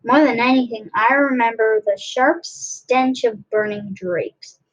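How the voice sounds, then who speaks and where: A woman reading a children's book aloud expressively, in a deliberate way with dramatic pauses. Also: on the high side, rough recording. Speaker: read-aloud narrator.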